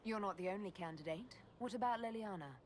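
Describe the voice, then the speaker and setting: An actress playing a calm, candid heroine who leads a fantasy order in a video game, plain-spoken and questioning. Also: female voice, mid-range voice, fast, slight background noise, lightly acted. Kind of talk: scripted video game dialogue.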